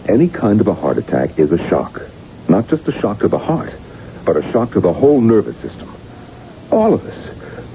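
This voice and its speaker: Radio drama: exasperated doctor, emphatic and persuasive, deep-set mellow tone, muffled recording with clear hiss and rumble. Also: male voice. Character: exasperated, earnest male doctor and loyal old friend. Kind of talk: 1980s radio drama acting. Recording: dull, rumbling, noisy old radio recording.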